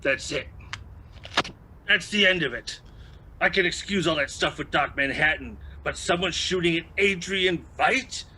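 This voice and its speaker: A man's voice: fairly high pitch, unhurried, pushed and bright, a little hiss or hum. A man playing an opinionated, indignant ordinary guy in a comic drama, ranting with exasperated emphasis. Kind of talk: dramatized comic adaptation acting.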